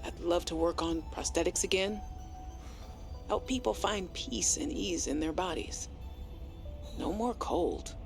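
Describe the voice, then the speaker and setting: Nervous earnest scientist in a game log, creaky voice, confiding n a bit defiant, soft music behind. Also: female voice, lowish voice, unhurried, long pauses. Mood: wistful, hopeful. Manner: hesitant, confiding. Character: earnest, anxious young female robotics scientist, quietly defiant. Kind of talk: naturalistic game audio-log acting.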